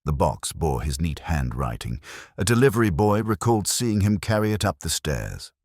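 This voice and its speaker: A very deep male voice reads a mystery story. The intonation moves expressively while the delivery stays measured and dryly understated. The sound is close and dry. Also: sing-song, a little mellow, no echo. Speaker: audiobook narrator. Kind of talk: scripted storytelling narration of a mystery.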